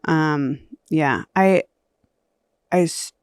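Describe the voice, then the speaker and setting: A woman's voice: sits low, extremely slow, smooth, dry recording, studio quality. A woman in her thirties, a comedian, chatting casually as a guest with a wry, self-deprecating humor and frequent laughs. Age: 30s. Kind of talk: casual chat.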